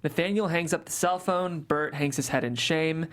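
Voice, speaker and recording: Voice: medium pitch, moderate pace. Matter-of-fact stage-direction reader. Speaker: narrator reading stage directions. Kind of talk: table read of a comedy script.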